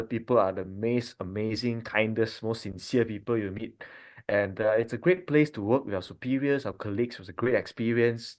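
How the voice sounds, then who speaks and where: A man from Malaysia, a doctor in his thirties, talking in a relaxed family podcast chat, thinking aloud with frequent fillers and restarts. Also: fairly low pitch. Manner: thoughtful, many fillers and restarts.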